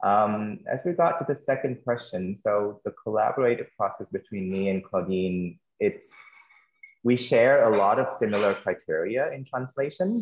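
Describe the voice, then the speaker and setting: A man's voice: fairly low pitch, roomy sound, poor audio. A man with a Vietnamese accent speaking as a translator on a panel, answering thoughtfully with frequent fillers and restarts.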